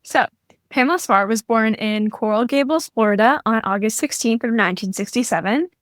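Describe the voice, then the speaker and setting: A woman's voice: a little high, brisk, dry recording, studio quality. A teenage girl hosting a true crime podcast, telling a case from her notes. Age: teens. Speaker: podcast host.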